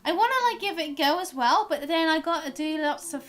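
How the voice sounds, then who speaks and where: A woman chatting casually and excitably while livestreaming a game, in a bubbly, giggly manner. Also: sits high, fast. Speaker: live streamer. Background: game music.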